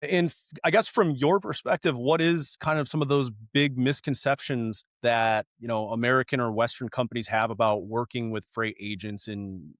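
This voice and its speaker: A man's voice: medium pitch, steady tone, normal speed, hardly any pauses, no echo, clean studio sound. A man hosting a podcast, asking questions in a casual, conversational interview style. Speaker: podcast host.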